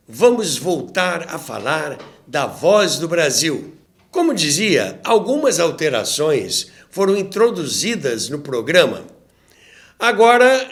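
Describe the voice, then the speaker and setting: Animated, unhurried Brazilian man narrating. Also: fairly high pitch. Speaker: host and narrator of a radio history show. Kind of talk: storytelling documentary narration.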